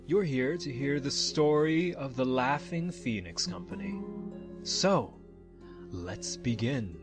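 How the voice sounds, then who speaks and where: A man playing a genial, grandiose troupe leader, narrating a fantasy audio drama in a measured, showman-like way. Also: mid-range voice, animated. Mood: grand welcome. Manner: measured, showman-like, formal phrasing. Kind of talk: dramatic fantasy storytelling to an audience. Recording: music under the voice. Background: background music.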